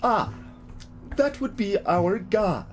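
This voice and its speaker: A man's voice: high voice, sing-song, takes it slowly, voice pushed up, exaggerated voice. A man voicing a polite, inquisitive robot guide in a video game, speaking in a formal, questioning way. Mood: puzzled, formal. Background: game music.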